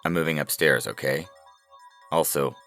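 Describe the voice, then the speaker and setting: A man plays an oblivious slacker son in an audio story. His voice is extremely low yet forceful and bright, casual and put-upon, over faint music. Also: fairly even intonation, normal speed. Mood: mild bother. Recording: music playing behind the voice.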